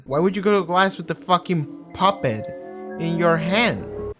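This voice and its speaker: A man's voice: sits high, lively intonation, measured pace, a bit bright, soft background music. A young man giving casual, blunt, sweary running commentary as a reaction video host.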